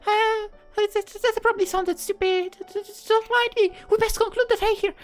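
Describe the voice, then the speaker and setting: A woman's voice: very high voice, steady tone, brisk, voice pushed up. A woman voicing a flustered, caring teenage schoolgirl in a visual novel, stammering and twitchy, with music behind her. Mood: flustered, tender. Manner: stammering, twitchy when embarrassed. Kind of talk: visual novel character dialogue.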